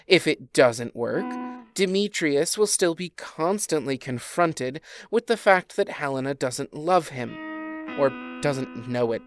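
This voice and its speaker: A woman playing a sardonic fairy queen in a modern comedy audio drama, deadpan and taunting toward her husband. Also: deep voice, clean tone, no echo, clean studio sound. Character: cool, sardonic fairy queen, teasing wife.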